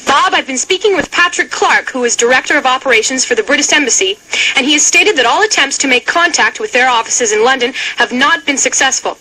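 A woman's voice: high-pitched, expressive, fairly quick, sharp tone, slight background noise, rough recording. A woman playing an earnest radio reporter giving a formal, precise live report. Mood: earnest, urgent.

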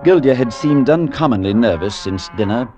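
An actor playing a compassionate, thoughtful priest in measured, literate 1940s radio drama style. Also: male voice, mid-range voice, animated, mellow, natural voice. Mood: grave, reflective. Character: thoughtful, compassionate priest recalling an eerie tale. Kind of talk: 1940s radio acting and narration. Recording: dull vintage 1940s radio broadcast, music underneath.

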